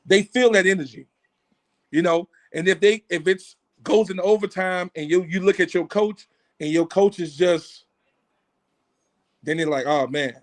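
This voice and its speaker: Animated male football coach breaking down plays. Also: high-pitched, moderate pace, pauses often. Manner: animated, acts out coaches' talk. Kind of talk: casual sports analysis.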